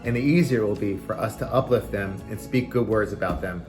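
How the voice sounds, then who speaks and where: A man's voice: lowish voice, fairly quick, darkish tone, faint music behind. A man speaking as a rabbi giving a short, explanatory religious lesson, stressing key phrases in a didactic way.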